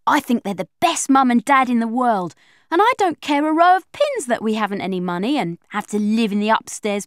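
A woman's voice: medium pitch, no echo, studio quality, natural voice. A woman narrating an audiobook in the voice of a chatty young girl telling her own story. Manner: lively, expressive, confiding. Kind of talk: first-person audiobook reading.

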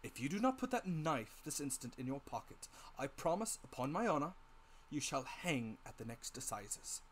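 A man voicing a calm, steely gentleman doctor and magistrate in a storybook reading, firm and formal. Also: fairly high pitch, moderate pace, slight background noise. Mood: firm threat.

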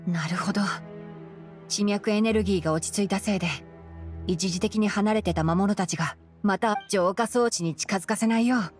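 A calm young fox-eared forest ranger played by a woman explains things in a measured, knowing way. It is polished game dialogue with music playing behind it. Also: mid-range voice, close to an everyday voice. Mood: calm, matter-of-fact. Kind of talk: Japanese game voice acting. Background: background game music.

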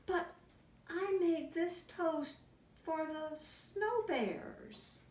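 A woman voicing a gentle mother bear in a storybook, teasing in a motherly way. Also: very high voice, drawn-out words, voice pushed up, exaggerated voice. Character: gentle mother bear playing along with her cubs. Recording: roomy, distant camera sound.

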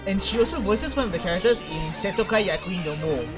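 Young male reaction host, slightly high pitch, loose hesitant commentary on a headset, clear music underneath. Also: expressive. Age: young adult. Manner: halting, repeats phrases while thinking. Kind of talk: casual live reaction commentary. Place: small bedroom. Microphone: headset boom microphone. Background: music from the video being watched.

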